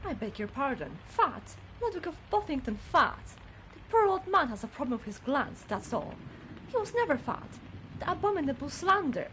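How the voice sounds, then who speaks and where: A woman's voice: high-pitched, sing-song, moderate pace, fairly bright tone, a little hiss or hum, low-quality recording. A woman playing a prim, loyal, easily affronted manor servant in a video game, with formal phrasing. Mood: indignant. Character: indignant, loyal female servant in a country manor. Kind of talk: video game character acting.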